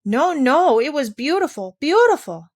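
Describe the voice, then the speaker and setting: A woman's voice: on the high side, moderate pace, voice pushed up, lightly acted. A woman reading aloud voices a frightened, homesick little girl in a storybook who talks in a small, hesitant, tearful way.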